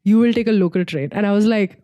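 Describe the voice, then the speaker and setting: A woman's voice: medium pitch, quick pace, no echo, dull audio. A young woman from Singapore chatting casually and reflectively as a podcast co-host, in an informal way peppered with "like".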